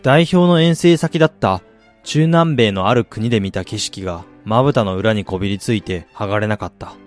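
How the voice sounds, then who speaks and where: Guy playing a husband and businessman looking back on his life, radio drama narration, measured delivery, music underneath. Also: on the low side, lightly acted. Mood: reflective. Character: driven businessman husband and father, reflective narrator of his past. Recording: music bed behind the voice.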